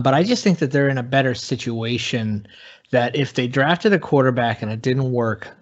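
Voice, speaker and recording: Male sports pundit on muffled audio. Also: mid-range voice. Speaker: podcast guest, sports commentator. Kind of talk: casual sports analysis.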